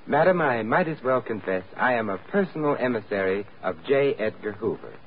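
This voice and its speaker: An actor playing a suave, wry gentleman adventurer with dry, urbane delivery in an old-time radio drama. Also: medium pitch, expressive, a little fast, a little hiss or hum, close to an everyday voice. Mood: wry, sardonic. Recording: tinny vintage radio recording, faint music.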